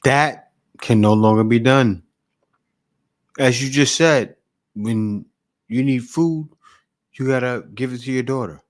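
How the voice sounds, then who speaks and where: Man, mid-pitched voice, blunt, lecturing, reaction commentary. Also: slow, long pauses. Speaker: reaction video host.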